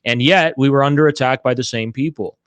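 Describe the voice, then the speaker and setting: A man with a medium-pitched voice and a dry, close sound argues in a live online debate. He is emphatic and repetitive, driving his points home in blunt language. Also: fairly quick, clear, resonant tone, dry recording. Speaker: debater leading a political group. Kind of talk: impassioned live political debate. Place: live online audio chat room.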